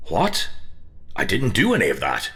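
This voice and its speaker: A man's voice: on the low side, wildly swooping pitch, moderate pace, sharp tone, heavily acted. A man playing a pompous, theatrical, mysterious collector in a comedy audio drama. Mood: self-satisfied. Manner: pompous, formal.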